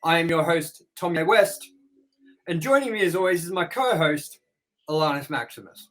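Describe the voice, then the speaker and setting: A man's voice: a little high, animated, moderate pace, a bit bright, low-quality recording. A young man hosting a casual review podcast, joking crudely and swearing often. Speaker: podcast host.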